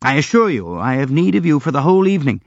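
A man's voice: on the high side, big pitch swings, fast, darkish tone, dry recording, lo-fi sound, pitched above the performer's own voice. A man playing a pompous, blustering old general. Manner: grandiloquent, effusive, self-pitying. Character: elderly blustering boastful army general, pompous.

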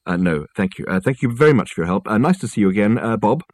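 Casting: befuddled, courteous man full of ums, acted by a male reader, halting delivery, comic storybook. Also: lowish voice, lively intonation, a little mellow, no echo. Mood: flustered. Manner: hesitant, stammering, apologetic. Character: flustered, hesitant, polite man visiting college lodge.

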